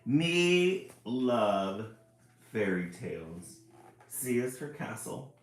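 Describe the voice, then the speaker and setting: A man's voice: mid-range voice, big pitch swings, very slow, heavy reverb, pitched above the performer's own voice. A man voicing an eager little alphabet book that talks in simple, broken, childlike grammar.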